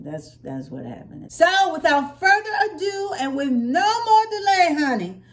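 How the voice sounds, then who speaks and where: Expressive American woman chatting casually. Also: on the high side. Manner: folksy, expressive, repeats phrases for emphasis. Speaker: hair care vlogger. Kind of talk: casual chat to viewers. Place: open-plan living room at home.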